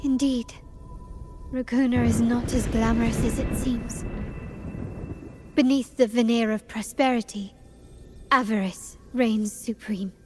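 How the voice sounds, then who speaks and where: A woman playing a poised, enigmatic scholar in a video game, speaking in a measured, eloquent, theatrical way. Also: fairly high pitch, steady tone, warm tone, soft background music, natural voice. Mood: reflective, grave. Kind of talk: scripted video game dialogue.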